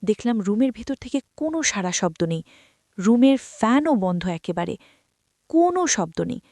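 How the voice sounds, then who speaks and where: Lively Bengali-speaking woman storyteller, dry sound. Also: on the high side, no room sound. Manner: confiding, addresses listeners as friends. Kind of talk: first-person story narration.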